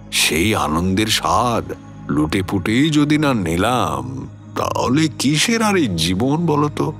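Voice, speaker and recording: Radio play: brooding middle-aged storyteller, low-pitched, expressive, rhetorical, over a music bed. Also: male voice. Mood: melancholy, philosophical. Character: older introspective gentleman recounting his uneasy past, philosophical. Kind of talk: dramatic first-person storytelling in a radio play.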